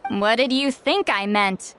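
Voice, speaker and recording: A woman voicing a haughty, sharp-tongued shaman who is centuries old, speaking sarcastically and dismissively in a game cutscene. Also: on the high side, a little slow, music in the background, natural voice. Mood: sardonic.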